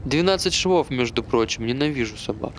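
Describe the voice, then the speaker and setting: A man's voice: mid-range voice, expressive, a little fast, slight background noise, lightly acted. An actor playing a bitter, aggrieved man who hates dogs, grumbling conversationally in a naturalistic stage play.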